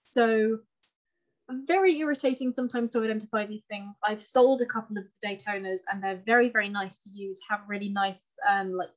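A woman's voice: mid-range voice, fairly even intonation, fairly quick. A woman, giving an informal, chatty, self-deprecating online presentation. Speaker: presenter giving a talk on fountain pens. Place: indoor room at home, on a video call. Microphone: video call microphone. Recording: dull lo-fi video call audio.

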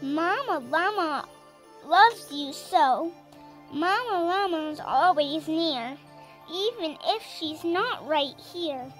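A seven-year-old girl reading a children's story aloud in a deliberate, sing-song, emphatic way. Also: extremely high pitch, lively intonation, faint music behind. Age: child, seven years old. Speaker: storyteller reading a picture book.

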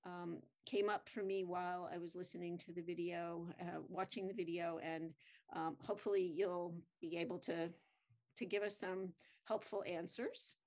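A woman's voice: on the low side, fairly even intonation, normal speed, darkish tone. Casting: woman host, chatty, loose questions, webcam call. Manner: friendly, rambling questions with many fillers. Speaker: interviewer host. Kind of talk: casual video-call interview. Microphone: webcam on a video call.

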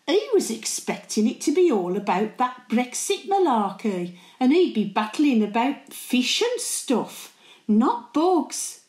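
An older woman playing a chatty, cheeky, warm-hearted working-class Birmingham great-grandmother, gossiping and rambling through a monologue. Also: on the high side, very animated, takes it slowly, echoey room. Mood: confiding. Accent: Birmingham (Brummie). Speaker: actor performing a dramatic monologue.

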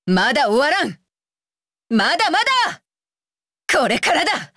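A high, dry-recorded young warrior woman from an anime fighting game. She is stern and scornful, with widely swinging pitch and a deliberate, commanding delivery. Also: big pitch swings, sharp tone, no room sound, exaggerated voice. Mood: defiant. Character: fierce, disdainful young shinobi warrior woman, dutiful and stern. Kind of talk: Japanese anime video game battle voice lines.

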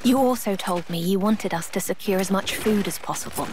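A woman acts out a haughty, refined young fighter in a video game. Her mid-range voice is formal, dry and weary, with clear noise behind it. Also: medium pitch, a little fast, lightly acted. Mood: weary, reproachful. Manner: formal, dry, long-suffering. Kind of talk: video game cutscene acting.

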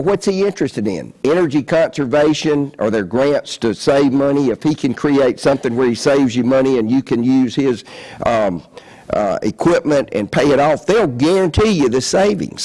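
A man's voice: medium pitch, darkish tone. An older man from South Carolina with a Southern accent, giving a folksy, chatty conference talk at a podium microphone in a large hall, often restarting his sentences. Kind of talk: conference presentation.

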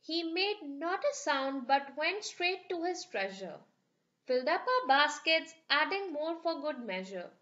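High bright lady reading a kids' picture book, sing-songy rhymes, kinda dull lo-fi sound. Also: animated, measured pace. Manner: sing-song rhyming storytelling. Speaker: children's book reader. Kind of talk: storybook read-aloud.

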